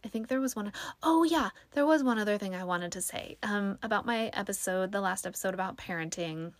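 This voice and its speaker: A young woman with a soothing voice chats through her solo podcast, rambling and self-deprecating with plenty of ums. She sits close to the mic in a small, dead-sounding room. Her pitch sits in an ordinary middle range. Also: brisk, no echo. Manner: chatty, self-deprecating, lots of fillers. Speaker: podcast host. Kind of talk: casual solo podcast chat.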